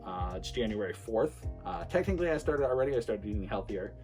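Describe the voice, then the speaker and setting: A young man of average pitch chats loosely to his viewers, rambling through plans with lots of fillers and false starts. Faint music plays quietly under his voice. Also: a little fast. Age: 20s. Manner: relaxed, rambling, many fillers and restarts. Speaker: streamer and vlogger. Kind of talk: casual talk to camera.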